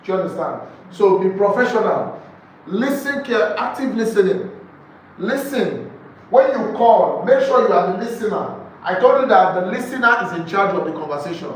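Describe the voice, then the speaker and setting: High-pitched Nigerian man lecturing, echoey room. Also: animated, moderate pace. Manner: emphatic, repeats key phrases, throws out rhetorical questions. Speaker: real estate sales trainer. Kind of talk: live training lecture to a group. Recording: echoey room, distant mic, faint noise.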